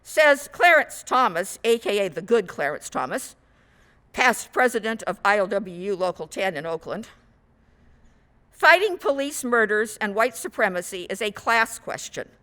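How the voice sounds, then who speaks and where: A woman minister giving a sermon in measured, rhetorical short phrases. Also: mid-range voice, expressive, pushed and bright. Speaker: guest minister.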